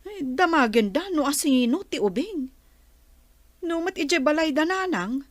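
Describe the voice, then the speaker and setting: A woman's voice: high voice, expressive, moderate pace, dry recording. A woman with an Ilocano Filipino accent playing a scheming pregnant wife who left her husband, in a radio drama. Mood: worried.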